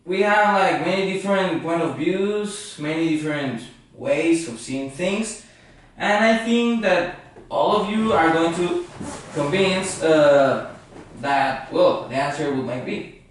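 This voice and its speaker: A man's voice: fairly high pitch, takes it slowly. A man playing a philosopher who hosts a late-night talk show in an amateur skit. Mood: hosting. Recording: echoey room, distant mic, faint noise.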